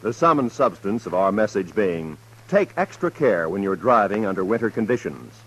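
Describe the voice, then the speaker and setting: A man's voice: lowish voice, lively intonation, normal speed, slightly rough, poor audio. A man announcing in scripted, old-time 1950s radio style.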